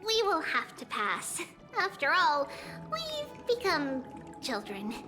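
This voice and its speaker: A woman playing a serene, gently composed little girl with an old soul in a video game. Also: sits high, huge pitch swings, slow, exaggerated voice. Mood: calm, candid. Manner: speaks of herself as "we", gently composed. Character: serene little girl, once a grown woman, now divine child. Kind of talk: scripted game voice acting. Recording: loud background music.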